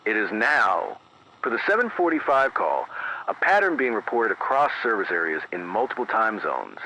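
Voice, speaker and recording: A man playing a grave, measured emergency radio announcer, reading scripted horror bulletins in a formal, deliberate, careful manner. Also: on the low side, very animated, pushed and bright, exaggerated voice, rough recording. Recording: band-limited radio sound with hiss.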